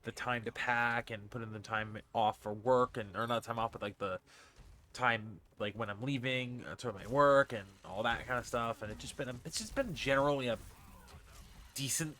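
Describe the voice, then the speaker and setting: A man's voice: mid-range voice, sharp tone. A man from Massachusetts with a faint Boston accent and a stuffy, congested voice, rambling through a casual vlog in his bedroom. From Massachusetts, USA. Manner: rambling, with many restarts and fillers. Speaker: streamer and vlogger. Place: his bedroom with a fan running. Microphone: desk mic on a stand.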